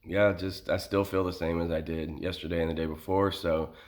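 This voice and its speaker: An American man talking casually in a personal vlog, halting as he searches for words, with a sore throat and a voice starting to give out from illness. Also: low voice, flat delivery. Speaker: vlogger sharing illness experience.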